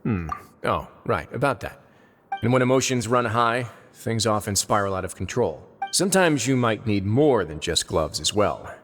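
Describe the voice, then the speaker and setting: A man voicing a composed, shrewd duke in charge of a prison in a video game, measured and assured. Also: lowish voice, animated, a bit bright. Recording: game music behind the voice.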